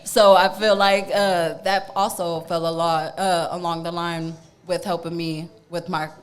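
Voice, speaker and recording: A woman comedian, talking loudly and jokingly in a casual podcast interview. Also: lowish voice, a little slow. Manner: loud, lively and joking, with a strong voice.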